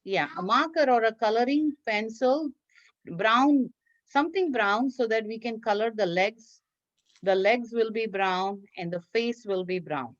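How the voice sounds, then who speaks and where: Woman, children's craft teacher. Unhurried, encouraging, repeats simple steps. Dull, dry online-class sound. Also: fairly high pitch, animated, no echo. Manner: encouraging, praising, repeating instructions. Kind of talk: instructional talk to young children, simple step-by-step.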